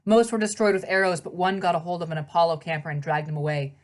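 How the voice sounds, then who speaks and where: Low-pitched woman reading a story aloud. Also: steady tone, fast. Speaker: read-aloud narrator. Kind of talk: scripted storybook narration.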